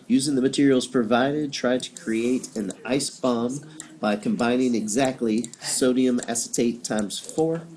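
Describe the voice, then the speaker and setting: A man in a workshop reading game instructions aloud in a steady, even voice. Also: medium pitch, unhurried. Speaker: workshop participant.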